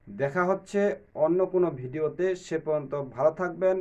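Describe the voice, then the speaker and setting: A man's voice: fairly high pitch, a little fast, warm tone, highs cut off. A man hosting a step-by-step phone tutorial in a repetitive instructional way. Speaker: tech tutorial host.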